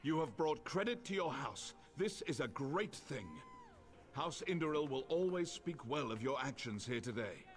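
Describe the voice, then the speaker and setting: A man's voice: a little high, lively intonation, moderate pace, over music, close to an everyday voice. A man playing a commanding summoner and house leader in a fantasy video game, speaking in a formal, declamatory way. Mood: proud, solemn.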